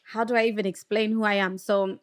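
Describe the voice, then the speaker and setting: A woman in her thirties from Zimbabwe, a guest telling stories with lively energy in a podcast studio. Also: mid-range voice, brisk, a little hiss or hum. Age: 30s. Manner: lively storytelling, acting out voices. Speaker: guest broadcaster. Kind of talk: casual conversation. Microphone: studio mic.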